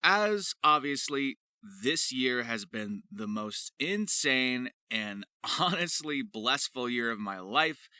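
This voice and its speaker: Very bright, animated male video host. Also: mid-range voice, no echo. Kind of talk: scripted video narration with playful showmanship.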